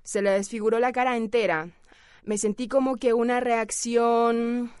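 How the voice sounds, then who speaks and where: A woman from Peru with a Peruvian accent, recounting a work experience in an interview and acting out the dialogue with lively storytelling. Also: fairly high pitch, unhurried, clear, resonant tone, no room sound, studio quality. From Peru, Lima. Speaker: service worker telling of a customer. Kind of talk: interview testimony.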